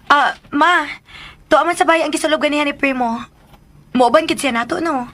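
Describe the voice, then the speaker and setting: A woman's voice: sits high, moderate pace, sharp tone. An actress playing a friendly, courteous young woman, a daughter in a Filipino radio drama.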